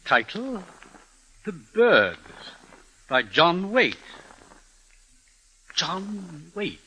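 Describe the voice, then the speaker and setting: Slow, skeptical publishing boss. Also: male voice, high voice, big pitch swings, bright, forceful tone, breathy edge, heavily acted. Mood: skeptical, curt. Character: gruff, skeptical male London publisher, the boss. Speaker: actor. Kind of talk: 1950s radio drama acting. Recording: noisy old radio recording.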